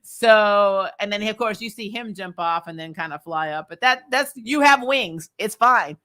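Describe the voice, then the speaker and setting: A woman's voice: medium pitch, expressive, moderate pace, bright, forceful tone. A woman hosting a recap show, giving casual, opinionated episode commentary in an informal, wry way. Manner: informal and opinionated, with mild swearing and wry asides.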